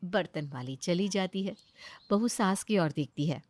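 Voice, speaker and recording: A woman's voice: on the low side, expressive, measured pace, darkish tone, no echo. A woman reading a scripted family story as a dramatizing narrator.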